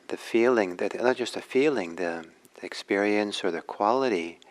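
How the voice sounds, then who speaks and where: Male meditation teacher, lower-pitched voice, reflective and halting delivery with frequent pauses. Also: poor audio. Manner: halting, reflective, pausing often. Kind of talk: guided meditation talk.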